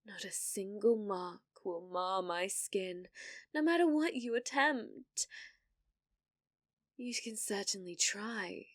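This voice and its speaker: A woman's voice: medium pitch, dry recording, clean studio sound. A British woman playing a cruel, domineering villainess in an ASMR fantasy roleplay, taunting theatrically and slipping into whispers. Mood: cold contempt. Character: cruel, domineering female villainess sorceress, gloating and possessive.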